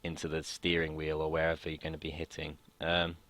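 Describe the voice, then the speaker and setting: A young man from the United Kingdom explaining a physics lesson in a casual way, his voice slightly croaky from long talking, often hesitating and restarting sentences. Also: extremely low pitch, monotone, a little fast, fairly bright tone, no echo. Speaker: student tutor.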